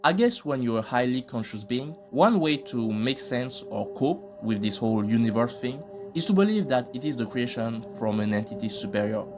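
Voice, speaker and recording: Male reaction host, very animated pitch, joking slangy commentary, loud music bed. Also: normal speed, hardly any pauses. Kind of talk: casual scripted commentary with slang.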